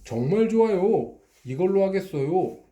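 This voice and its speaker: A man's voice: sits high, expressive, moderate pace, warm tone, lo-fi sound, pitched above the performer's own voice. A man reading a storybook aloud voices a plump customer, a raccoon in disguise, who gushes in a sing-song way. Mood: delighted. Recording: echoey room.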